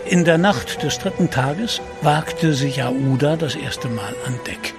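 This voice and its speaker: A man's voice: a little high, slow. A man narrating a scripted sea adventure audio drama as its storyteller. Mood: storytelling. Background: music behind the voice.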